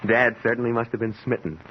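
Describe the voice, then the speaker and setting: An actor playing an amiable, curious man in his thirties, speaking haltingly and with wonder in an old-time radio drama style. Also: medium pitch, lightly acted. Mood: affable. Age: 30s. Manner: halting, wondering, repeats words. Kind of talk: mid-century American radio drama acting. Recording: dull, noisy old radio recording.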